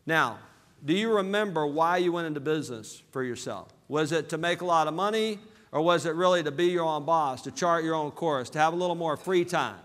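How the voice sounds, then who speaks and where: A man from southern Alabama with a Southern American accent giving an energetic motivational business seminar, calling out to his audience for responses. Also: a little high, fairly quick. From southern Alabama, USA. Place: large hall with live audience. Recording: reverberant hall.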